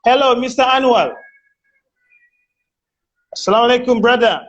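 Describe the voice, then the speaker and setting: Hesitant high-voiced man hosting a live chat. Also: normal speed, fairly bright tone, dull audio. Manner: hesitant, many fillers and restarts; enthusiastic interjections. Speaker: host of a live online chat. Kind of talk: casual live-stream interview.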